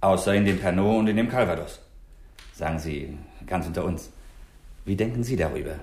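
A man's voice: low-pitched, a little fast, a little mellow. A man playing a courteous townsman speaking confidentially in a 1960s German radio crime drama. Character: courteous male townsman reporting to a commissioner. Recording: roomy early-1960s radio studio recording.

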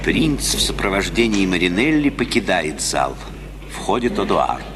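A man's voice: deep voice, big pitch swings, normal speed, over a noisy crowd. A man narrating a radio play, reading scripted stage directions. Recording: noisy old radio recording.

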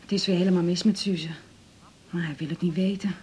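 A woman's voice: fairly low pitch, steady tone, fairly quick, darkish tone. An actress with a Netherlands Dutch accent playing an anxious, affectionate middle-aged mother in a radio drama, fretful and hesitant. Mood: anxious, confiding. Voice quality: husky, breathy.

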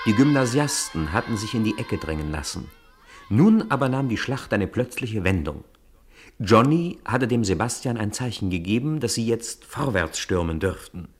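A man narrating a radio play in a measured, storytelling manner. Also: lowish voice, expressive, slightly rough.

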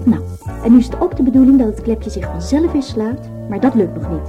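A woman's voice: fairly high pitch, lively intonation, a little fast, close to an everyday voice. An actress playing an eager woman inventor in a radio drama, keenly explaining. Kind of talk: Dutch radio drama acting. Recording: dull radio recording, music behind.

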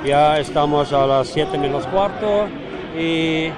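High-voiced male reporter live in a crowd. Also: measured pace, background noise. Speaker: radio reporter. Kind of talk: live street interviews and reporting. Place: outdoors in a busy city square. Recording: busy protest crowd outdoors. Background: crowd of protesters.

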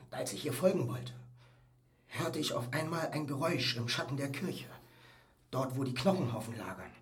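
An actor playing a sensitive young nobleman in a German radio drama, recounting an uncanny experience with halting, awestruck delivery. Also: medium pitch, expressive, natural voice. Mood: shaken, haunted.

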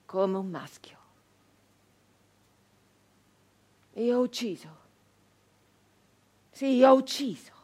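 A high-pitched, fierce woman brigand of the 1800s. She tells her story slowly and bitterly, weighing every word with theatrical intensity. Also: lively intonation, breathy edge. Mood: proud, menacing. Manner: intense, deliberate, with long pauses, swinging from hushed to outcry. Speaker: stage actress. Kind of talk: dramatic theatrical monologue.

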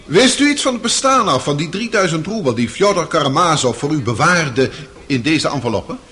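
An actor playing a stern, scornful Russian public prosecutor in a radio play, formal and insistent. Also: male voice, fairly high pitch, lively intonation, fairly quick, fairly bright tone. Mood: stern questioning. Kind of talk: radio play courtroom acting.

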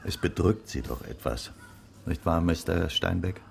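An actor playing a courteous, observant former private detective in a radio drama, with a gravelly voice and a polite, probing manner. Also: male voice, deep voice, unhurried, darkish tone, slight background noise, exaggerated voice. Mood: curious, polite.

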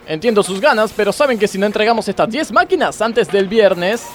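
A man's voice: high voice, lively intonation, brisk, a bit bright, a little hiss or hum. A man playing a strict, preachy blind factory boss with an Argentine accent in a radio comedy sketch. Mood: stern, dismissive. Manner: stern, sanctimonious, curt.

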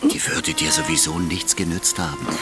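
Deep-voiced, smug Soviet party boss. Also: male voice, over music. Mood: coolly menacing. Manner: mocking, unhurried, condescending. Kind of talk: German radio drama acting.

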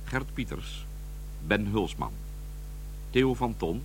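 A man's voice: lowish voice, lively intonation, takes it slowly, slight background noise. A man reading scripted radio drama credits as a formal announcer.

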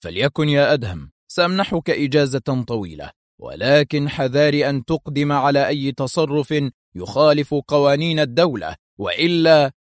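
A man's voice: mid-range voice, animated, fairly quick, darkish tone, no echo, studio quality, natural voice. A man voicing a stern, exasperated male intelligence director who speaks with authority and warning in an audiobook thriller. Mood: warning, angry.

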